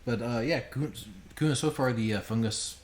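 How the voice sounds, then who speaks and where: A man's voice: mid-range voice, moderate pace, background noise. A man giving casual, sweary, sardonic game commentary on a home stream. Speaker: game developer streaming a playthrough.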